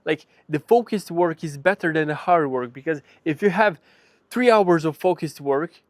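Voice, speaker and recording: A man's voice: on the high side, normal speed, no echo, clean studio sound. A man from Serbia with a Serbian accent gives a casual motivational talk to camera, speaking bluntly and emphatically with frequent swearing. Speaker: vlogger.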